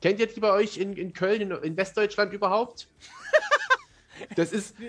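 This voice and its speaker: Higher-pitched man chatting thoughtfully. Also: steady tone, moderate pace, music in the background. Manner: self-deprecating, thoughtful. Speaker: author, interview guest. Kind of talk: casual podcast conversation.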